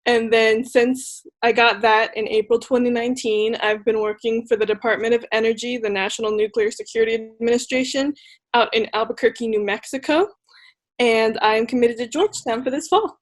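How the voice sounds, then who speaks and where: A woman's voice: fairly high pitch, steady tone, brisk. A woman in her twenties who grew up in Charlotte, introducing herself casually on a panel.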